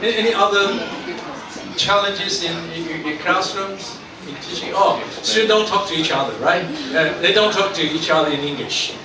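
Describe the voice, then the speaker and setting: A man's voice: high-pitched, normal speed. A man with a Taiwanese accent leading a teacher training workshop, asking many questions and often restarting his sentences. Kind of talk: leading a group discussion. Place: school meeting room. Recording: echoey room from far off, noisy.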